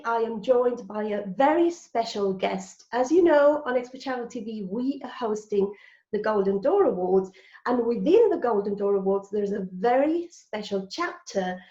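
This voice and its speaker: A woman's voice: on the high side, mellow. A woman hosting an online interview show in an enthusiastic, effusive way over a video call. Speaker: host. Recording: roomy, dull video call audio.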